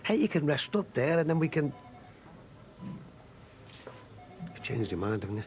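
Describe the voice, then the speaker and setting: A coaxing lover. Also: male voice, on the high side, very animated, quick pace, darkish tone, soft background music. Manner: coaxing, tender, persuasive. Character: middle-aged man Ron, pleading secret lover. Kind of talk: British TV drama acting.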